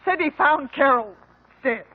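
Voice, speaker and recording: An actress playing a rural American older mother confessing in halting, folksy speech in a radio drama. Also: sits high, expressive. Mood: distressed confession. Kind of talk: 1950s radio drama acting. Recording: muffled 1950 radio recording.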